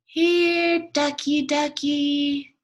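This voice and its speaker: A woman voicing an excited, insistent storybook character arguing a point in an emphatic, exclamatory way. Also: sits high, extremely slow, a little hiss or hum, pitched above the performer's own voice. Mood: eager, coaxing. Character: excited, insistent arguer who sees a duck.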